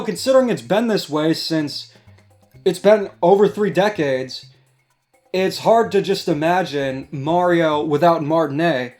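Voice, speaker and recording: A man's voice: on the high side, darkish tone. A man hosting a casual discussion, giving commentary over background music. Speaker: host. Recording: music playing behind the voice.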